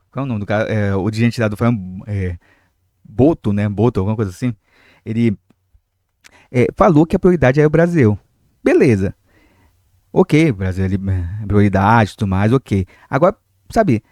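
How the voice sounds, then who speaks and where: A young man with a Brazilian Portuguese accent runs his football channel in a loose, chatty way, full of fillers and asides to the audience. His voice is mellow and a little rough from a cold he is getting over. The sound is dry and close. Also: medium pitch, no echo. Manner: chatty, many fillers, addresses viewers directly. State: recovering from a cold, irritated throat. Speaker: football commentary channel host. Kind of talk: casual football commentary to camera.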